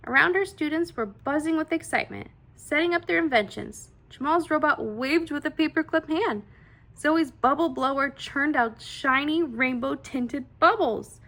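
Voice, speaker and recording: High-pitched woman reading a children's storybook. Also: vocal fry, a bit bright. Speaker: children's read-aloud host. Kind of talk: expressive storybook narration for children.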